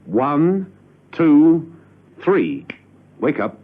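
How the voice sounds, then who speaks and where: An actor playing a suave, unflappable British gentleman spy, urbane and wry, in a radio drama. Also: fairly high pitch, big pitch swings, extremely slow, full character voice. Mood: wry, unruffled. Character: suave, unflappable English gentleman secret agent. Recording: dull old radio recording.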